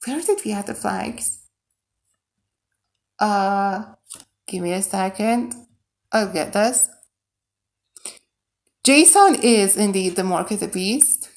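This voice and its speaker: A woman from Iran, a native Persian speaker with a Persian accent, chatting casually to viewers and thinking aloud as she codes on a livestream. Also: a little high. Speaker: livestreamer and game modder. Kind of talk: casual livestream chat while coding.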